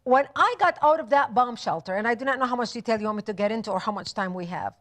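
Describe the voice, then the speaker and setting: A woman's voice: fairly high pitch, expressive, quick pace, fairly bright tone. A woman from Lebanon, speaking as a guest in a TV studio interview, with passionate, emphatic lists. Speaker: guest author.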